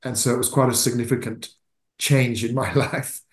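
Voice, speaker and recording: A man's voice: medium pitch, steady tone, normal speed. A middle-aged man from Zimbabwe with a mixed Irish, English and South African accent, telling his story earnestly as a guest. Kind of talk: casual conversation. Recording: roomy echo.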